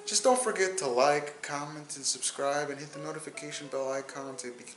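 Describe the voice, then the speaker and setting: Man, 20s, solo podcast host, rambling and repetitive; slightly high voice, roomy echo. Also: brisk. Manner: rambling, repeats words and restarts. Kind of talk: casual solo podcast monologue.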